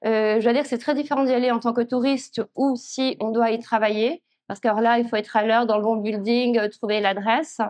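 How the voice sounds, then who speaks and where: A woman, an author speaking reflectively at a public literary talk, with frequent pauses. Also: on the high side, fairly even intonation, darkish tone. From Switzerland. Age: 50s. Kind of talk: conversational answers at a public talk. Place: festival conference room.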